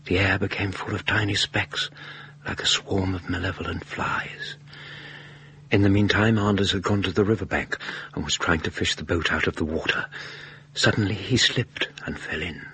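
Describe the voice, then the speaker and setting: An actor with a British accent playing a proper, courteous doctor in a radio drama, formal and old-fashioned in manner. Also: male voice, low voice, steady tone, normal speed, sharp tone, slight background noise. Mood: grave narration. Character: proper, courteous, easily shocked gentleman doctor.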